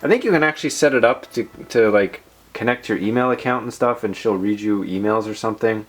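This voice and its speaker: A man hosting a tech video, chatting informally and rambling through a software demo with playful asides. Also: on the low side, fairly quick. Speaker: tech channel host. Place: indoors at a desk in a room.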